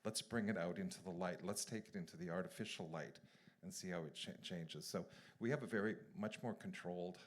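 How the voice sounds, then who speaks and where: Man, curator, very low voice, discursive, explanatory, gallery conversation. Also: a little fast. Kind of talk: moderating a public artist conversation. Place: gallery event space.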